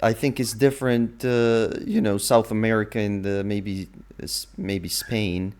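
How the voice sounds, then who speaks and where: Slow-talking Serbian-native man. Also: lowish voice, steady tone, a little mellow. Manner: unhurried, thinking aloud with fillers. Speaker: language learner. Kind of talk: conversational language lesson.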